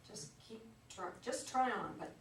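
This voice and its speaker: A woman's voice: fairly high pitch, lively intonation, moderate pace, a bit bright. A woman playing a well-off resident who is hesitant and politely defensive, in a staged reading of a play. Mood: guarded, uneasy. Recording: distant echoey live recording, noisy background.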